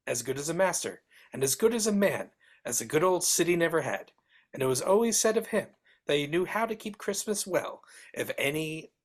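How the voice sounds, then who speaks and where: A woman playing a male storyteller, narrating in a live dramatic storybook reading. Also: lowish voice. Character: Mister Dickens, storytelling narrator.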